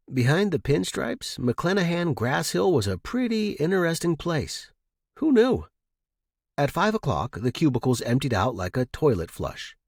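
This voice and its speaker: Animated, husky male story narrator. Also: mid-range voice, no room sound, studio quality. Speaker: audiobook-style fiction narrator. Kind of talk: scripted short-story narration.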